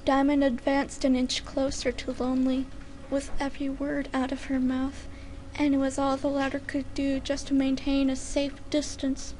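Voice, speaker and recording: A woman with a very high voice narrates a story in dramatic fashion. Her delivery is deliberate, and there is clear noise in the recording. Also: monotone, a little mellow, poor audio. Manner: deliberate, careful enunciation. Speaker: fan fiction reader.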